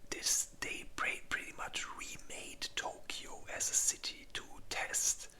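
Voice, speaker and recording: A host speaking in a breathy, unvoiced whisper, rambling informally in a casual ASMR ranking video. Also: slow pace, pushed and bright.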